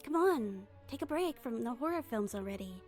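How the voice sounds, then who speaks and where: A woman voicing a composed, caring young female magician's assistant in a fan-dubbed game, measured and understated. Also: a little high, expressive, darkish tone, soft background music, lightly acted. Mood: gently coaxing.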